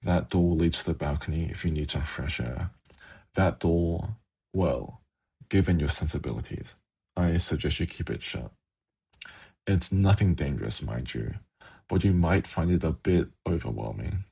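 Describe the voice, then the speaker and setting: Man playing a very deep, gravelly demon prince. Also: darkish tone, heavily acted. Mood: teasing, sly. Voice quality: gravelly, husky. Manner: slow, intimate, teasing, coaxing with formal wording. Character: seductive, ancient male demon prince, fallen angel, coaxing tempter. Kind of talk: ASMR fantasy roleplay, scripted monologue.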